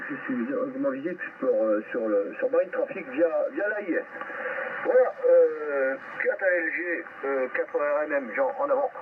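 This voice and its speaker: Through heavy static on a thin, narrow radio link comes a middle-aged or older man. He sounds like a casual radio operator at sea, explaining hesitantly with pauses. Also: fairly high pitch. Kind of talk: casual radio contact with call signs. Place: aboard a sailing boat, over radio. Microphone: radio microphone. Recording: distant, tinny, noisy shortwave radio reception.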